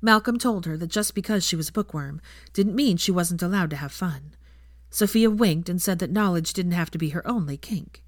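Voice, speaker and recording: Woman, slightly low voice, scripted story narration, audiobook reader, dry close recording. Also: normal speed, dry recording. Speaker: fan fiction narrator.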